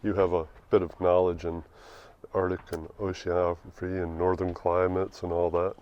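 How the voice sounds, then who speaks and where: Low-voiced male host, muffled sound. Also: level tone, normal speed. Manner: brief prompts and back-channel replies. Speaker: host, interviewer. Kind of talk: casual interview. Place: small cabin.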